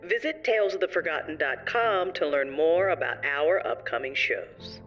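An announcer reads the scripted closing credits of an audio drama. Music plays clearly behind, and the voice is slightly away from the mic. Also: mid-range voice, expressive, moderate pace, fairly bright tone, recorded from a distance, muffled recording.

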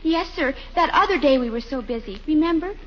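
An actress playing a meek, polite, literal-minded secretary in a 1940s radio comedy. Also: female voice, sits high, animated, a little fast. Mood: meek, helpful. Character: meek, obliging, naive female office secretary. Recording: muffled, noisy 1940s radio recording.

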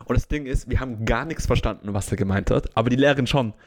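A young Austrian man chatting on a podcast. His pitch is in the middle range and his tone is lively. He hesitates often with "ähm" and trips over his words. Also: fast talker. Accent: Austrian German. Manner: animated, hesitant with fillers and stumbles. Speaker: podcast co-host. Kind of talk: casual chat.

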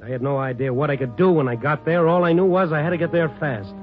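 A man's voice: fairly high pitch, fairly even intonation, fast talker, natural voice. An actor playing a dogged, compassionate newspaper reporter in a 1950s radio drama, telling his story tersely and asking probing questions. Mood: urgent, brooding. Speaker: actor in the lead role and narrator. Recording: muffled old radio recording with music.

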